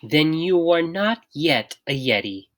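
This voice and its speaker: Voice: mid-range voice, animated, takes it slowly, pitched above the performer's own voice. A storybook reader voicing a bossy, stern big sister yeti with emphatic delivery. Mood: bossy, dismissive.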